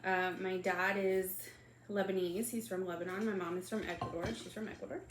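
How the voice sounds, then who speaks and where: A woman's voice: medium pitch, little pitch movement, fairly quick. A woman in her thirties from Texas co-hosting a casual podcast, talking in a playful, joking way. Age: 30s. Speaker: podcast co-host. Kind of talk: casual chat. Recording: echoey room, faint noise.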